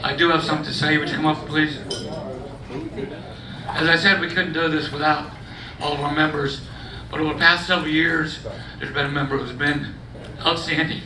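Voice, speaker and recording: A man running a gala program as its emcee, folksy and joking, his voice going hoarse. He sits slightly high in pitch. He is picked up from a distance in an echoey hall, with crowd chatter around him. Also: flat delivery, brisk. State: losing his voice. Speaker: master of ceremonies. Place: large banquet hall. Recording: echoey hall, far-off mic, crowd noise.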